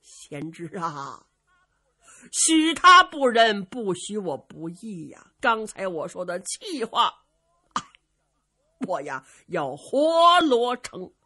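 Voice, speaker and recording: Storytelling role: old nobleman, played by a woman, slow, weighty, sighing, forbearing yet aggrieved, a little husky. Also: medium pitch, very animated, sharp tone, exaggerated voice. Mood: resigned, magnanimous.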